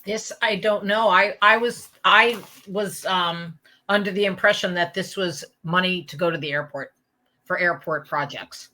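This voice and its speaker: A woman's voice: on the low side, unhurried, sharp tone. A woman speaking as a guest in an interview, conversational and emphatic, often checking that listeners follow. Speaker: interview guest, open space campaigner.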